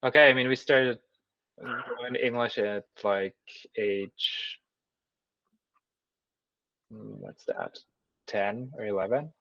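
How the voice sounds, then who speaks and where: A man in his thirties from Switzerland with a German accent, a guest chatting casually in an interview, thoughtful and halting with frequent restarts. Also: on the low side, gravelly, fairly bright tone, no room sound, lo-fi sound. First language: Swiss German. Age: 30s. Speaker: guest mathematician.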